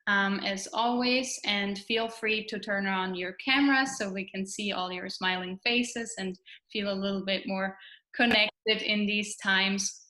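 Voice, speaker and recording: A woman hosts an online event and gives the audience friendly instructions, with frequent "um"s. Her voice is bright and forward. The sound is roomy, as if she is speaking into a video call from an echoey space. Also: medium pitch. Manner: friendly, filler-heavy instructions. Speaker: event moderator.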